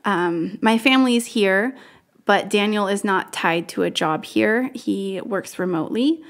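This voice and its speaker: Upbeat woman co-hosting a chat. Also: mid-range voice. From Northern California. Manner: upbeat, brisk, laughs often. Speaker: podcast co-host. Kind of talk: casual chat.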